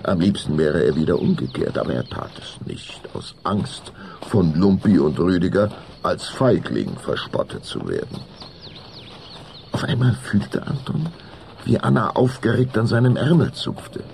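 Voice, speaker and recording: A man's voice: deep voice, big pitch swings, unhurried, vocal fry, a little mellow, a little hiss or hum. A man narrating a children's spooky radio play in a theatrical, suspenseful way. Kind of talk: dramatic scripted narration of children's horror story.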